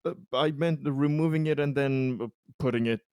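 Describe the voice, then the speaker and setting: A man chatting casually as a player in a tabletop roleplaying game, speaking in a halting, hesitant way. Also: mid-range voice, a little mellow, smooth, no room sound, clean studio sound. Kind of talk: casual, joking game chat.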